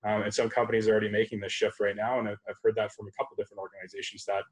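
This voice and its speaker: A man's voice: on the low side, level tone, racing. A man in his thirties on a laptop video call, answering as a panelist in an online discussion, thoughtful and full of fillers and restarts. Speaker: panelist, startup executive. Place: home room, indoors. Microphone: laptop webcam video call.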